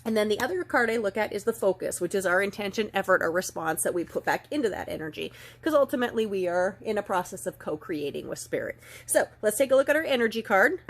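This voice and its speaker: Gentle woman, a bit stuffed up. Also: medium pitch, animated, fast. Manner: gentle, earnest, reads passages aloud. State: stuffed up, slightly nasal. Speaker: oracle card reader. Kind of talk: casual spiritual card reading.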